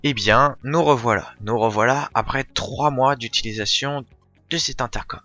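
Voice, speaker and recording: Bright-voiced man reviewing casually. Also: mid-range voice, normal speed, highs cut off, faint music behind. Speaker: product reviewer. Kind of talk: casual unboxing and review talk.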